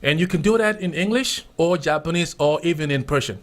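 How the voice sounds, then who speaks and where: Animated, higher-voiced Ghanaian radio host. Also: male voice. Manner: animated, repeats phrases, drawn-out spelling of contacts. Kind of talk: casual radio chat, promos and interview. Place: radio studio.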